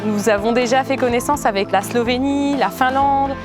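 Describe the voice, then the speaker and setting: Woman volunteer, earnest, slightly high voice, music under her. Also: normal speed. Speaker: volunteer and host parent. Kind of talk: interview.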